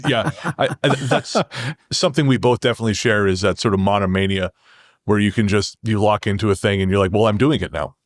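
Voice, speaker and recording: A man's voice: fairly low pitch, fast, no echo, studio quality. A man speaking casually and candidly as a podcast guest, a little self-deprecating about himself. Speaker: podcast guest, horror fiction writer. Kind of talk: casual interview talk.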